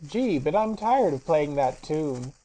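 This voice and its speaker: A woman's voice: low voice, animated, measured pace, warm tone. A woman voicing a surly living phonograph with a brazen, scratchy voice.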